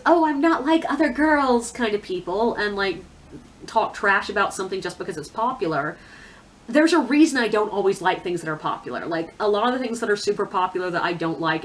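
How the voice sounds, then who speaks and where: A woman's voice: medium pitch, fairly bright tone. A woman giving a casual, chatty monologue as a book reviewer, opinionated and conversational, with self-deprecating asides.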